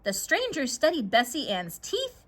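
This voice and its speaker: A woman's voice: high-pitched, huge pitch swings, measured pace, fairly bright tone. A woman telling a scripted children's story as a storyteller.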